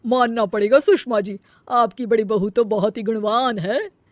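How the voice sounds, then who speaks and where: Woman putting on a gushing, high-pitched auntie. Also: moderate pace, no room sound, close to an everyday voice. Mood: admiring. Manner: effusive, approving. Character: gushing, generous visiting aunt. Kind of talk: acted Hindi family comedy story.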